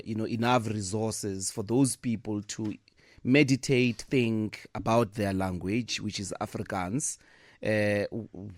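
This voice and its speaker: A man's voice: on the low side, a little mellow, dry recording. A man hosting a radio talk show in the studio. He asks long, hedging questions full of fillers. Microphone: studio microphone.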